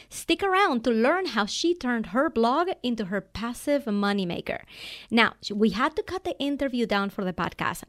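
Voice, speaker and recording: A woman hosting a business podcast, reading an upbeat scripted intro and then interviewing a guest with enthusiasm. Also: fairly high pitch. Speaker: podcast host and business coach.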